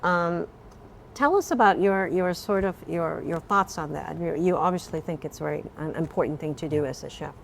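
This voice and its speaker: A woman chef from the United States interviewing a guest, asking questions in a warm tone and nodding along with "mm-hmm". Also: fairly low pitch, normal speed, gravelly, slight background noise. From born in Vietnam, raised in the United States. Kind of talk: conversational interview.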